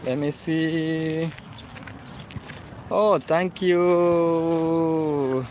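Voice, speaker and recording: A man's voice: on the high side, fairly even intonation, extremely slow, darkish tone. A young Kenyan man with a Kenyan accent, vlogging live to viewers as he walks. He chats along, repeats himself and speaks straight to his audience. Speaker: travel vlogger. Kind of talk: walking livestream vlog. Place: outdoors on a city street. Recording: street noise around the phone.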